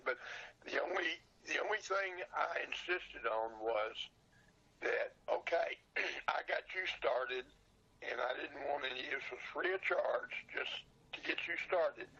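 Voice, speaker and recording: An older man reminiscing casually as an interview guest, with a folksy, halting manner that often repeats how a phrase begins. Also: on the high side, vocal fry, pushed and bright, breathy edge, lo-fi sound. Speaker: podcast guest, old radio show collector and seller.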